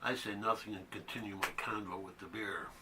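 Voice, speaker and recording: A man's voice: lowish voice, steady tone, fairly quick, natural voice. A man playing a bitter, foul-mouthed loner delivering a sardonic, terse dramatic monologue from a one-act play. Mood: sardonic, weary. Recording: faint background noise.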